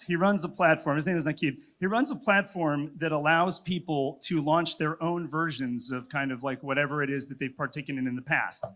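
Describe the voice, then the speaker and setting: A man's voice: on the high side, a bit bright. A man moderating a conference panel, talking in a reflective, loosely rambling way with filler words.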